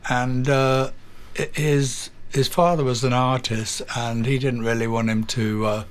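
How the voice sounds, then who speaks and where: Older English man, halting and relaxed. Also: mid-range voice, clean tone. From England. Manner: halting, with many fillers and repeated words. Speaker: guest, drummer. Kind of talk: casual interview storytelling.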